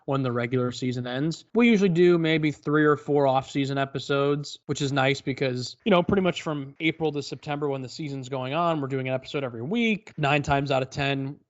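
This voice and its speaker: Brief: mid-pitched male comic actor, loose and rambling, sarcastic jokes, dull lo-fi sound. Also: a little fast, hardly any pauses. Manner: joking, self-deprecating, rambling. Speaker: podcast guest, actor and comedian. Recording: dull lo-fi call audio.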